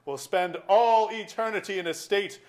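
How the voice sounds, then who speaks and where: A man's voice: high voice, moderate pace, a little mellow, natural voice. A bitter middle-aged man played by an actor in a stage play reading. He talks in wry, wordy, sarcastic turns, like a small-town lawyer nursing a grudge, in naturalistic dialogue. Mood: ranting. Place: auditorium.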